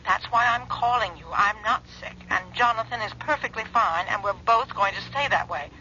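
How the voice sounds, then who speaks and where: An actress playing a sharp-tongued older mother and grandmother who scolds and insists, in a 1970s radio drama. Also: mid-range voice, moderate pace, a little hiss or hum, close to an everyday voice. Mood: insistent. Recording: dull old radio broadcast recording.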